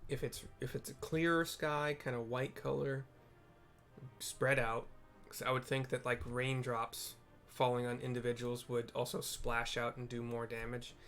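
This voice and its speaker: A man's voice: medium pitch, flat delivery, measured pace, fairly bright tone, faint music behind. A man giving casual, unscripted gameplay commentary, rambling and thinking aloud as he explains what to do.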